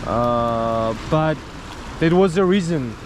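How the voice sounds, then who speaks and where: A man's voice: medium pitch, takes it slowly. A young man with a Georgian accent, a travel vlogger chatting and laughing in broken English, then narrating. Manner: laughs often, animated, friendly banter in broken English. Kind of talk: casual chat and travel narration. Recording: loud sea and boat noise behind.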